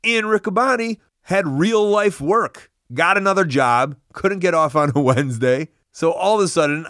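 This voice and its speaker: A man's voice: fairly high pitch, fairly bright tone, no echo, studio quality. A man hosting a podcast and narrating between clips in a chatty, self-deprecating way, recorded on a phone microphone. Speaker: podcast host.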